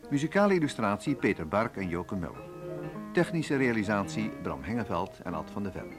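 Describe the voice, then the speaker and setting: A male radio announcer reads drama credits in a fairly low voice. His intonation is lively, and plucked guitar music plays clearly behind him. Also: a little fast. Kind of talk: scripted closing credits announcement.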